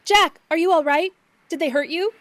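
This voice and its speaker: A woman's voice: very high voice, normal speed, fairly bright tone, no echo. Someone playing an anxious, caring young woman. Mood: worried. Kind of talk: old radio show acting.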